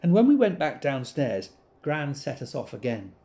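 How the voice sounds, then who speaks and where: An actor, a man, playing a wistful storyteller fondly recalling childhood in a measured, intimate audio drama monologue. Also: mid-range voice, very animated, mellow. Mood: fond, reminiscent.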